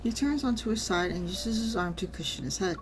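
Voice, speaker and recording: A woman streamer reading a game story aloud and reacting casually, in an expressive manner. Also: medium pitch, brisk, music in the background.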